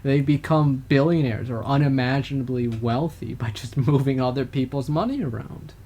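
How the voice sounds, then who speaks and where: A man's voice: mid-range voice, moderate pace, warm tone. A man co-hosting a casual political podcast, talking in a rambling way with frequent hesitations and restarts. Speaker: podcast co-host.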